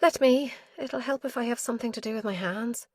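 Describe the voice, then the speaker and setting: A woman's voice: a little high, brisk, a little mellow, no room sound, pitched above the performer's own voice, natural voice. A woman narrator voicing an anxious adult daughter who sounds strained and pleading. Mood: subdued.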